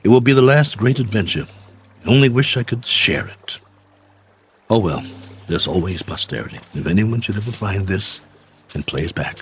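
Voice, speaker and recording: Male actor as stranded spaceman, low voice, animated inflection, halting, gently welcoming, radio drama. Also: slight background noise, close to an everyday voice. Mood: wistful wonder. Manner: halting, musing, talks to himself.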